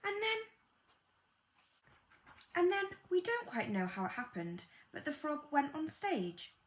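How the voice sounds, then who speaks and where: A woman reading a children's bedtime story aloud in an expressive storytelling manner, with gentle delivery and dramatic pauses. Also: high voice. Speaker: storybook reader.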